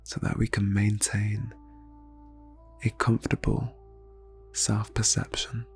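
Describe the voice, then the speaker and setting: Hushed, breathy man guiding meditation. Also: on the low side, completely flat delivery, faint music behind. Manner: slow, soothing, deliberate phrasing with long pauses. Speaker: guided meditation host. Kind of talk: ASMR guided meditation, soft-spoken, often near whisper.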